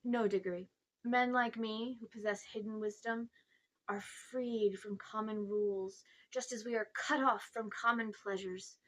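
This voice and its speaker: A woman's voice: medium pitch, fairly even intonation, measured pace, fairly bright tone, poor audio. A grandiose, self-important old uncle from a storybook, acted out by a woman reader. He talks down to his listener with sly evasions, like a man sure he is above ordinary rules. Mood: pompous, condescending.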